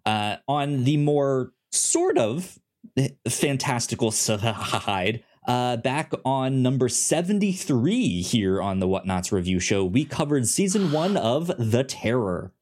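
A man co-hosting a casual review podcast, talking informally and haltingly, often stuttering and repeating syllables. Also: medium pitch, very animated, darkish tone. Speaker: podcast co-host. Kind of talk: casual review show chat.

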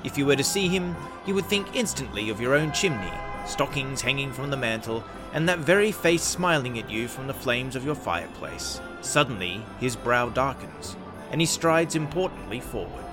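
A man's voice: medium pitch, expressive, moderate pace. A man hosting and narrating a radio play in a scripted storytelling style. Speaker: host and narrator. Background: music.

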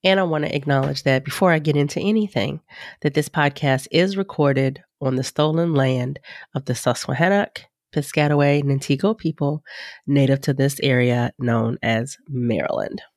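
A woman's voice: extremely low pitch, moderate pace, a little mellow, dry recording, studio quality. Congested, nasal woman podcast host. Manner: chatty, rambling, folksy, addresses listeners as y'all. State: congested, nasal from sinus infection. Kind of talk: casual podcast intro chat.

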